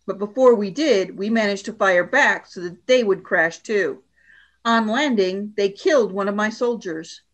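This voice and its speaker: A woman's voice: mid-range voice, unhurried, close to an everyday voice. An imperious alien warrior woman, acted by a woman for a radio play. Her mid-range voice is cold and formal. She speaks as one used to command, stern and haughty. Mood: cold, severe.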